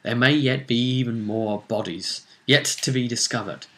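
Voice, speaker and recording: A man's voice: mid-range voice, moderate pace, bright, forceful tone. A man recapping a tabletop fantasy game as game master, telling it casually but dramatically with wry asides. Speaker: game master and series presenter.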